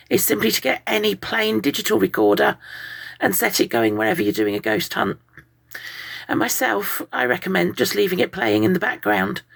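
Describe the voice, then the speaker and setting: A woman with a croaky, hoarse voice from a summer cold, patiently explaining ghost-hunting methods to listeners. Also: mid-range voice. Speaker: guest presenter on ghosts. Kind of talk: solo talk to listeners.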